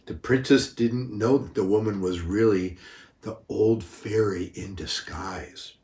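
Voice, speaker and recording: An older man narrates a children's story at a very slow pace, with dramatic emphasis. His voice sits fairly low. It was recorded from a distance in an echoing room. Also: darkish tone. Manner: unhurried, dramatic emphasis, occasional stumbles. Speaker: grandfather reading stories. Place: echoey room, away from the mic. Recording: roomy, echoing, distant microphone.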